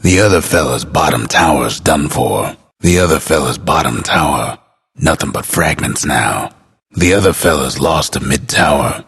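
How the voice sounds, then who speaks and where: An actor playing a laconic, folksy, world-weary male game announcer with an American accent and a gravelly, husky voice. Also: very deep voice, expressive, a bit bright, full character voice. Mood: wry, understated. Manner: folksy, unhurried, understated. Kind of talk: scripted game announcer lines.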